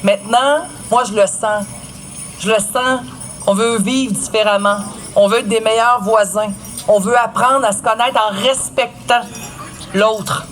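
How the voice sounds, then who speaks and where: A woman's voice: a little high, steady tone, unhurried, faint music behind. A woman with a Quebec French accent making an earnest, emphatic public speech at an outdoor event. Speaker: guest speaker at a public celebration. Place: outdoor event at an old port.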